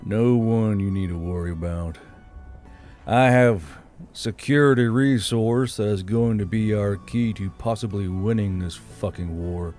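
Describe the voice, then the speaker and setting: Tired, sardonic officer in a fantasy audiobook, read by a man, mellow and terse, faint music underneath. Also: fairly low pitch, unhurried, voice pushed down, lightly acted. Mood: grim. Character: exhausted, grim male military commander in a fantasy war. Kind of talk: audiobook character voice. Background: soft background music.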